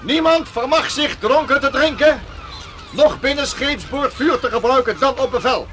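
Very high, forceful ship's officer. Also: male voice, moderate pace, a little hiss or hum. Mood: stern, official. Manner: formal, barking delivery. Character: stern, commanding ship's officer of sailing days. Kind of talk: radio drama acting.

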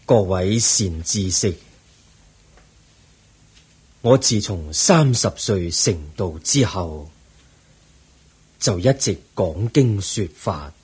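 An actor playing an aged, serene Buddha in a Cantonese radio drama, with a Hong Kong accent and a solemn, measured, husky delivery. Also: male voice, sits low, a little mellow, heavily acted. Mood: solemn teaching. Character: aged, serene Buddha, eighty, weary yet calm teacher.

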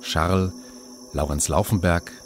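A man's voice: low voice, steady tone, measured pace, a little hiss or hum. A man reading scripted credits as the announcer of a radio drama.

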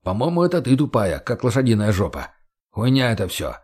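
A man's voice: medium pitch, big pitch swings, a little fast, a little mellow, slightly rough. A man playing a burly, blunt, crude sidekick in an audiobook, with a coarse, slangy, teasing manner. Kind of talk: audiobook character voice.

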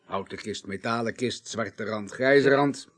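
An actor playing a humane, wry undertaker in a radio drama, measured and reflective, with gentle amusement. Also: male voice, fairly low pitch. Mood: reflective, compassionate. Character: humane, wry male undertaker, a former parliamentarian. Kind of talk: naturalistic Dutch radio drama acting.

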